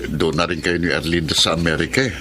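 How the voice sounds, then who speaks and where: A practical, caring grown son speaks in a fairly low voice. It is Filipino radio drama acting, with faint noise in the background. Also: male voice, steady tone, fast, sharp tone. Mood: affectionate.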